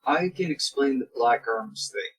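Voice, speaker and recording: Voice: medium pitch, animated, measured pace, a bit bright, breathy edge. A reader casually explaining and narrating fan fiction to a group of friends. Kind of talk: casual explaining and read-aloud.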